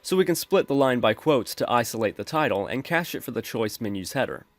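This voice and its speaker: A man calmly explaining code step by step as the host of a screen-recorded programming tutorial. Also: mid-range voice, fairly quick, dry recording. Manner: steady explanatory, addresses viewers as folks.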